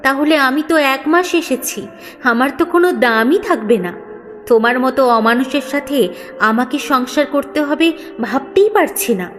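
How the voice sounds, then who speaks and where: A woman's voice: sits high, unhurried, fairly bright tone, soft background music, voice pushed up. A woman storyteller voicing a caring, principled young daughter-in-law with an earnest, emotional manner. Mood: indignant, hurt.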